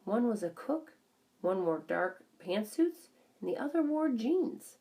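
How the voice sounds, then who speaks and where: Woman reading as a chatty little hamster telling his story, mellow n creaky. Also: fairly high pitch, sing-song, unhurried, pitched above the performer's own voice. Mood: chatty, observant. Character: small classroom pet hamster, eager, observant narrator. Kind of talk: first-person children's storybook narration.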